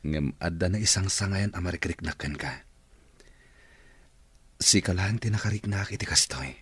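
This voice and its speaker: Earnest, smitten boss for radio drama: low, slightly husky, Ilocano accent, dry recording over music. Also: male voice, moderate pace, a bit bright, no echo, exaggerated voice. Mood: tender, pleading. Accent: Filipino, Ilocano. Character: smitten male office boss, earnest and insistent suitor.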